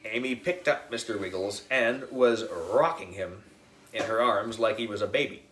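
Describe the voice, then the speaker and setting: A man reading a children's book as a young boy narrator, expressive, dramatic and playful. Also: on the low side, moderate pace, roomy sound, soft background music. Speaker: audiobook reader.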